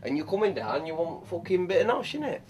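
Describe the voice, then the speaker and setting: A man's voice: high voice, very animated, fast talker, a little mellow, highs cut off. A man doing a crude boozy Yorkshire lad. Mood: brash rambling. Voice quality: swaggering, profane, rambling. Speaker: comic cook character. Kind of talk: improvised comedy sketch.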